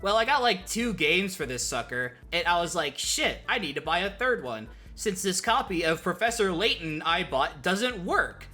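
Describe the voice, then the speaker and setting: Expressive, bright-voiced man doing video commentary. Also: high voice, normal speed, few pauses. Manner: joking, self-interrupting, sweary. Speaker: video essay host. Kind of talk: casual scripted video essay commentary.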